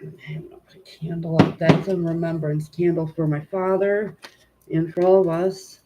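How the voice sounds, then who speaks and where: A woman with a stuffy, congested nose hosting a tarot reading live stream at home, chatting informally with viewers and filling pauses with "um". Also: fairly low pitch, little pitch movement, muffled recording, background noise. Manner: informal and chatty, many "um" fillers, greets viewers. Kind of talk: casual live-stream chat and card reading.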